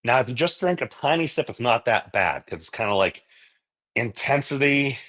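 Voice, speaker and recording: A man's voice: mid-range voice, lively intonation, normal speed, a bit bright. A man hosting a livestream in a casual, rambling chat, jokey and self-deprecating as he drifts into digressions. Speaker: livestream host.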